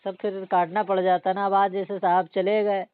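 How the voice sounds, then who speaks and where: A man's voice: high-pitched, flat delivery, normal speed, clean tone, no echo, lightly acted. Man acting a humble village-born guard, muffled recording. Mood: earnest, resigned. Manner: deferential, hesitant, plain rustic talk. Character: humble married village man working as city security guard. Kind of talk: staged casual phone conversation. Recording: dull, lo-fi, dry call-style recording.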